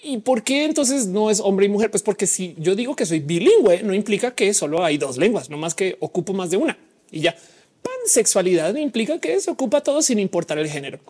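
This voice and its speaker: Woman livestream host, mixed Mexican-Colombian accent, husky voice with a lively swinging pitch, slangy and talkative. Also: a little fast. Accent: mixed Mexican and Colombian Spanish. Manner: casual, chatty, explains at length with slang. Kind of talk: live chat reading audience comments.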